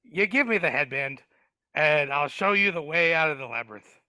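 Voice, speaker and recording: A man's voice: on the high side, animated, normal speed, pushed and bright, clear, resonant tone, dry recording, rough recording. A man voicing a sly, grumbling male dwarf in a live video-call table read, hesitant and wheedling, stammering often. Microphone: video call mic.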